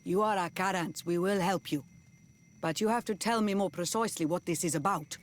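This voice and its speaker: An actor playing an authoritative, guarded clan leader in a video game, speaking in a terse, stately way. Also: male voice, high-pitched, fairly quick, slight background noise, natural voice. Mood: guarded, commanding. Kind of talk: scripted video game acting.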